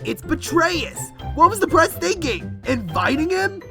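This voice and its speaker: A woman's voice: very high voice, big pitch swings, a little slow, fairly bright tone, faint music behind, pitched above the performer's own voice, full character voice. Woman voicing a wary, doubtful young hero. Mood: nervous. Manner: nervous, casual slang.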